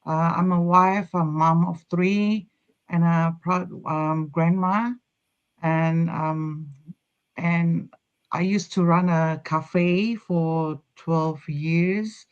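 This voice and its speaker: A middle-aged woman speaks slowly as a guest, pausing often on ums as she gathers her words. Her audio is dull and lo-fi, with the top end rolled off. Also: on the low side, fairly even intonation, clean tone. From Indonesia. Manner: halting, many fillers.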